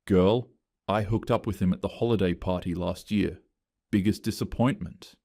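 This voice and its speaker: A man's voice: deep voice, steady tone, moderate pace, mellow, dry recording, clean studio sound. A man voicing a gossiping young office woman with a catty, giggly manner.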